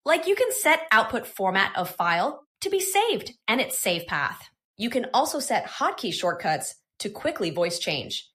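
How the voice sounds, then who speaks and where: A woman presenting a software tutorial in scripted, instructional, step-by-step narration. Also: fairly high pitch, sing-song, measured pace, few pauses.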